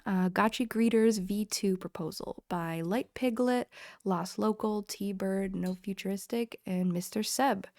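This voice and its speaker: A woman with a cold hosting a community call, reading through proposal summaries in a brisk, businesslike way with frequent ums. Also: medium pitch, gravelly, dark tone, no room sound, studio quality. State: has a cold.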